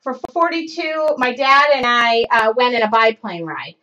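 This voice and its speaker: A woman's voice: fairly high pitch, moderate pace, sharp tone. A woman in her forties hosting a live craft demo from her home studio, rambling and self-interrupting as she works. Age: 40s. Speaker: craft show host. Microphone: webcam in front of her. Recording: dull lo-fi webcam stream audio.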